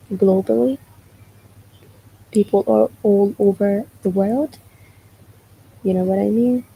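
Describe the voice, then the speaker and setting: Slow, gravelly-voiced Palestinian woman. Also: mid-range voice, mellow, slight background noise, low-quality recording. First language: Arabic. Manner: explanatory, often asks "you know what I mean?". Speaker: livestreamer. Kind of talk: casual live stream answering chat.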